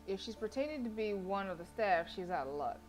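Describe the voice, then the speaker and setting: A woman game streamer reading visual novel narration aloud, expressive, with casual blunt reactions. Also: medium pitch, a little fast, a little hiss or hum, low-quality recording.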